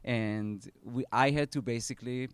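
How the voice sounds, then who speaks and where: A man with an Israeli accent, a startup founder speaking at a live event, earnest and measured with pauses and restarts. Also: mid-range voice, fairly even intonation, smooth, no room sound.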